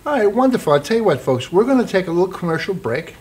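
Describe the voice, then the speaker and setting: Fast-talking middle-aged host. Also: male voice, high-pitched, very animated, a little mellow, slightly rough. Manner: rambling, repeats himself. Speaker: talk show host. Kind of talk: casual chat. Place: small studio set.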